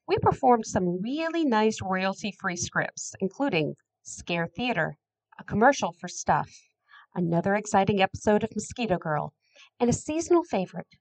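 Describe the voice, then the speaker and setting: Woman hosting, medium pitch. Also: a little mellow, rough recording. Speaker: drama teacher and podcast host. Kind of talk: scripted podcast introduction.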